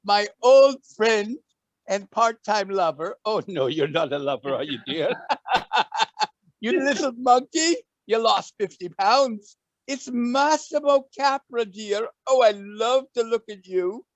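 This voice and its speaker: A man's voice: high voice, expressive, slow pace, dry recording. A man doing a flirty, campy lady host. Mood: gushing. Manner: gushing, flirty, says dear constantly. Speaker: talk show host. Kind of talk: campy comic interview.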